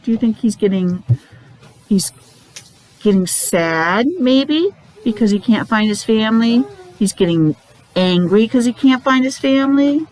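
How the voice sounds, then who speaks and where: A woman, a teacher reading a picture book aloud to young children, pausing to explain and ask questions in simple words. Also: on the high side, expressive, darkish tone, a little hiss or hum, low-quality recording. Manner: child-directed, asking questions, repeating words for emphasis. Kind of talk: animated read-aloud with casual explanatory asides.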